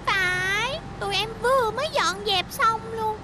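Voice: extremely high pitch, a little slow, noisy background. An actor voicing a young, childlike little bus in a children's cartoon dub, speaking emphatically. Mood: cheerful.

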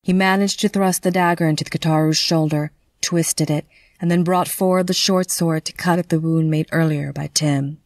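A woman reading scripted narration for a dark fantasy audio drama. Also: deep voice, steady tone, normal speed, no room sound, clean studio sound.

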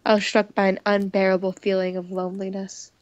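A woman's voice: mid-range voice, completely flat delivery, brisk, darkish tone, no room sound, muffled recording. A woman playing and reading a visual novel aloud, mixing dramatic narration with casual commentary.

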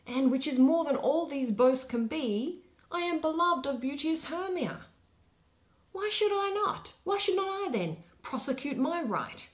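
A young woman giving an expressive dramatic reading of a Shakespeare play, with theatrical, deliberate phrasing and pauses. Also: high-pitched. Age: young adult. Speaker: reader.

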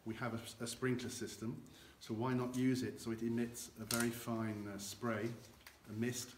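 A man's voice: medium pitch, little pitch movement, normal speed. An older man explaining cognac aging as a guide in a warehouse, gesturing with his hands as he talks, recorded by a camera a few meters away. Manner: explanatory, gestures with both hands while talking. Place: large dim barrel warehouse with earthen floor. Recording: echoey warehouse, faint noise.

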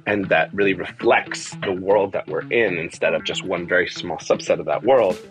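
A man's voice: low-pitched, normal speed, faint music behind, low-quality recording. A middle-aged man from the US, born in Argentina, answering conversationally in an interview, often repeating words and checking in with "right?". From born in Argentina, grew up in the US from age four. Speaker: theater composer, music director and adapter. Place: small bare room, indoors, video call.

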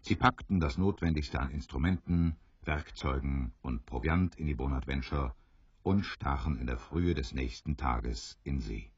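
Male narrator for an audio drama, very low and husky, close dry sound, slightly dull. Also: a little slow, dry recording. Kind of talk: scripted storybook narration for a radio play.

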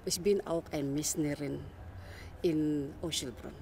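An older woman in her sixties with a Filipino accent speaks very slowly and hesitantly, leaning on fillers as she goes. Her voice sits low for a woman. Faint noise hangs in the background. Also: fairly even intonation, darkish tone. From Philippines. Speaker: community president. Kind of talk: interview answers.

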